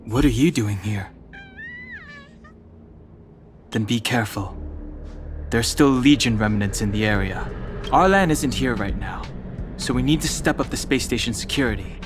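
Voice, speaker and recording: A man's voice: mid-range voice, expressive, normal speed, over music, close to an everyday voice. A man voicing a cool, level-headed young guard in a video game, terse and matter-of-fact. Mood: stern.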